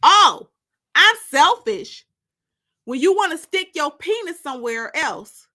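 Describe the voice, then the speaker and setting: A woman's voice: very high voice, very animated, slow pace, sharp tone, voice pushed up, exaggerated voice. A woman voicing a jealous, indignant woman in love, sassy and accusing, in a dramatic audiobook. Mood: outraged.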